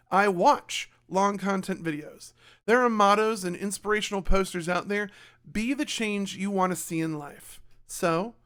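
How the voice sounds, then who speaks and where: A man with a slightly raspy voice giving a chatty, self-mocking channel update to his viewers. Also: sits high, a bit bright. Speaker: YouTube creator. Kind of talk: casual channel update monologue.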